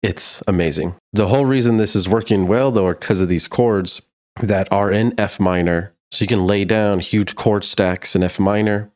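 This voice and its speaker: A man's voice: lowish voice, normal speed, a little mellow. A man giving a casual, slangy music production tutorial in a laid-back but enthusiastic way.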